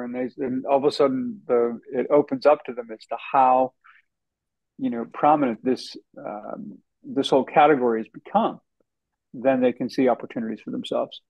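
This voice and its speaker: A man answers interview questions as a guest author, speaking at a middle pitch in a relaxed, conversational way with many fillers. His audio sounds a little dull and lo-fi. There is little room sound around him. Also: no room sound.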